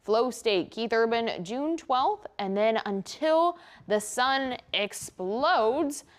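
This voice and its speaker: A woman's voice: a little high, lively intonation, slow pace. A woman with an American accent chatting casually and informally as a TV news show co-host. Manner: chatty, informal, frequent "like" and "oh my gosh". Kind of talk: casual unscripted studio chat.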